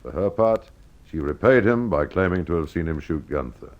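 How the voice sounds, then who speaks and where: A man narrating a scripted old-time radio mystery as its host, with dramatic, measured storytelling. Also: low voice, animated. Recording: dull lo-fi old radio sound.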